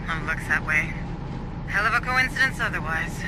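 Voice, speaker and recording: A woman's voice: on the low side, lively intonation, moderate pace, heavy background noise, close to an everyday voice. A woman playing a focused colony administrator in a video game, directing a squad in a businesslike, directive way. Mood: grave. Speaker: mission coordinator. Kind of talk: video game dramatic dialogue. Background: background music.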